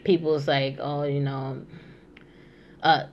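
A woman's voice: deep voice, little pitch movement, takes it slowly, a little hiss or hum. Chatty young New woman. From New York. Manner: chatty, many asides and fillers. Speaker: host. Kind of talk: casual chat.

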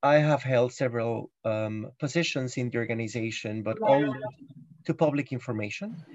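A man's voice: mid-range voice, moderate pace, darkish tone, no echo, dull audio. A middle-aged man giving a guest interview on a podcast, explaining carefully with frequent ums and restarts. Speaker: guest, UN guided tours chief.

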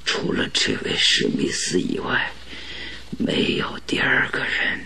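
An actor playing a gravely ill, stubborn detective in a radio drama, with a weak, breathy, halting voice. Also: male voice, sharp tone. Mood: weak, insistent. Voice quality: breathy, raspy, weak whisper. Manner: halting, laboured, pleading.

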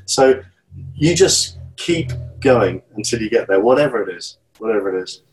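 A man's voice: lowish voice, lively intonation, moderate pace, soft background music, poor audio. A man in his fifties from Great Britain, a guest talking in an interview, earnest and encouraging, often repeating and restarting his words. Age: 50s. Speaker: guest, channel swimmer. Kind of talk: casual interview talk.

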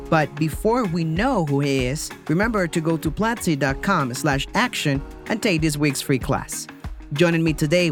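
A man with a rather high voice hosts the show as an English teacher. He sounds upbeat and keen as he welcomes and questions his guest. Faint music sits in the background. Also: a bit bright. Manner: upbeat and enthusiastic. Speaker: podcast host and English teacher. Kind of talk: hosting an interview.

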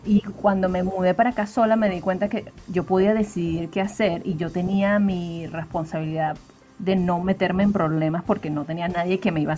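A woman's voice: on the low side, darkish tone. A Venezuelan woman speaking as a podcast guest, telling her story in a reflective way with frequent fillers. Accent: Venezuelan Spanish. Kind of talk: conversational interview.

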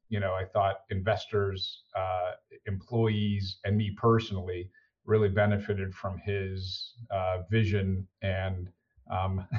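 A middle-aged American man with a fairly low voice answers as an executive guest on a podcast. He talks conversationally, pausing often as he finds his words. The recording is slightly dull. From United States. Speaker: interview guest, senior executive.

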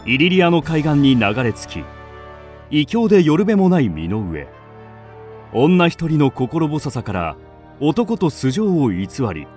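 A man narrating a scripted radio drama in a formal, expressive storytelling manner. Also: fairly high pitch.

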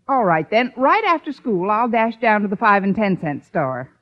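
An actress playing a wry, sharp-tongued American schoolteacher in a 1950s radio sitcom, with dry, sarcastic delivery. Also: female voice, medium pitch, big pitch swings, moderate pace, natural voice. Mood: brisk. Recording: dull vintage radio recording.

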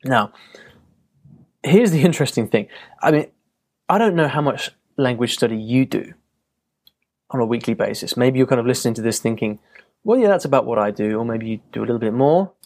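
A man's voice: medium pitch, animated, fairly quick, pauses often, a little mellow. A man hosting a podcast, talking on his own in a reflective, loose way with frequent fillers and restarts. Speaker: podcast host. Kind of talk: casual solo podcast monologue.

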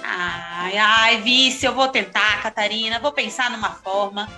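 A woman's voice: mid-range voice, a little slow, pushed and bright, faint music behind. A woman voicing a fretful, feisty sister with a Northeastern Brazilian accent, melodramatic and scolding, in a comedic radio soap. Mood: nagging, teasing.

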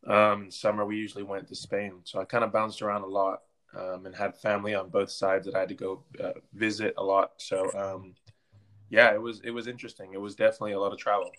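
A man's voice: on the low side, flat delivery, quick pace, a bit bright. A man from Belgium speaking informally in an interview as a soccer coach, often circling back and repeating words. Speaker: interview guest, soccer coach and team captain. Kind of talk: conversational interview.

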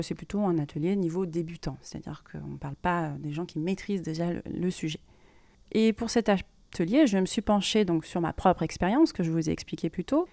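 A woman hosting a podcast, explaining things informally in a monologue and pausing as she thinks aloud. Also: lowish voice, mellow, dry recording. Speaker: podcast host and creative writing workshop leader.

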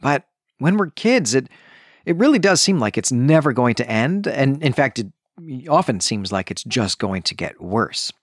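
A man's voice: medium pitch, very animated, moderate pace, no room sound, clean studio sound. A man hosting a podcast, talking through a book in a conversational, emphatic and thoughtful monologue. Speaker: podcast host.

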